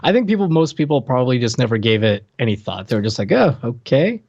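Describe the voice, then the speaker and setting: A man's voice: medium pitch, sing-song, fast talker, darkish tone, no echo. Dull, dry-sounding male podcast co-host. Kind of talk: casual podcast chat. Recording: dry, slightly muffled microphone.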